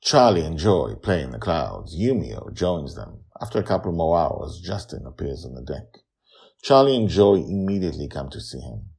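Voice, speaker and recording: A man with a gravelly, husky voice narrating a story as its author and host, in a theatrical, emphatic way with dramatic pauses. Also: extremely low pitch, very animated, a little mellow.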